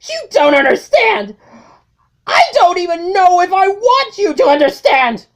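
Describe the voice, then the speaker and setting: A woman's voice: very high-pitched, very animated, unhurried, pitched above the performer's own voice, heavily acted. A woman voicing a resentful, wounded robot lieutenant in a comic dub, strained, pleading and melodramatic. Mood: agitated. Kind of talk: comic dub dramatic acting.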